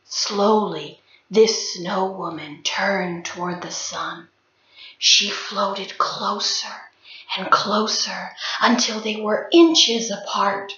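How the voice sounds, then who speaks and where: Slow, expressive woman storyteller. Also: mid-range voice. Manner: deliberate, expressive, suspenseful. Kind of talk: dramatic folk tale storytelling performance. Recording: roomy, slightly dull sound.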